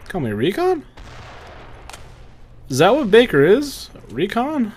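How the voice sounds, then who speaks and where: A man's voice: sits high, wildly swooping pitch, takes it slowly, darkish tone, slight background noise. A young man giving casual gameplay commentary, muttering to himself and swearing freely. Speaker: gamer recording a playthrough.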